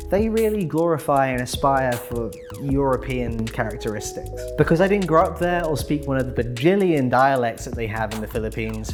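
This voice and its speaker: A young man with a British accent, narrating a personal video essay with dry, sarcastic humor. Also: mid-range voice. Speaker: YouTuber. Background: background music.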